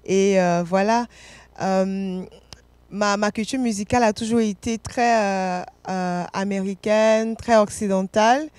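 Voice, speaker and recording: A woman from Côte d'Ivoire chats about her music as an interview guest. She hesitates, fills pauses and laughs easily, her voice sitting at an ordinary middle pitch. The recording is dry, with no room sound. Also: steady tone, a little mellow, smooth, no room sound. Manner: hesitant, many fillers and restarts, laughs often. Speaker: singer-songwriter guest. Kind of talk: casual interview answers.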